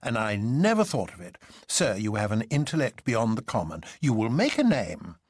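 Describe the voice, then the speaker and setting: A man voicing an exuberant, unflappable gentleman in a storybook reading, speaking pompous nonsense with grand deliberation. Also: on the low side, wildly swooping pitch, normal speed, husky, no echo, exaggerated voice. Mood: radiant, unperturbed.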